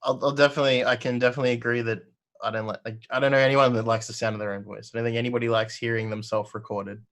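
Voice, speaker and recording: A man co-hosting a podcast with quick, wry remarks in casual chat. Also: medium pitch, flat delivery, fairly bright tone.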